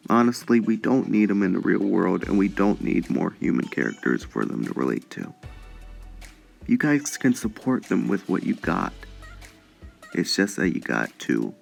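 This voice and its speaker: A man's voice: low-pitched, gravelly. A man hosting a podcast-style opinion commentary, speaking with emphatic phrasing. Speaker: video host and commentator.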